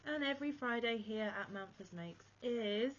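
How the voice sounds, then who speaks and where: A woman's voice: fairly high pitch, lively intonation, moderate pace, a bit bright, highs cut off. Chatty, under-the-weather crochet vlogger woman. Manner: chatty, self-deprecating, rambling asides. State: unwell, chesty and a bit wheezy. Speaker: crochet channel host. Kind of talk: casual chat to camera.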